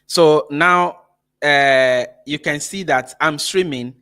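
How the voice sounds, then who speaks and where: Energetic man teaching a live online workshop. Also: a little high, slow, smooth. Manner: energetic, repeats words, checks in with "right?". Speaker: workshop host and teacher trainer. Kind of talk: live-streamed workshop lesson. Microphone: phone camera mic.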